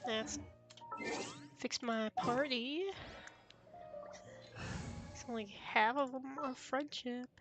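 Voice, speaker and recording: A woman's voice: a little high, fairly even intonation, takes it slowly, pauses often. A woman streamer giving casual live reactions to a game in short muttered asides. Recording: game music behind voice.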